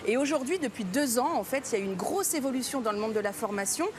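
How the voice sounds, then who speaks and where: A woman's voice: fairly high pitch, expressive, fast. A talkative, emphatic woman explaining things conversationally as a guest on a radio chat show. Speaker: studio guest presenting language training. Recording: clearly noisy background.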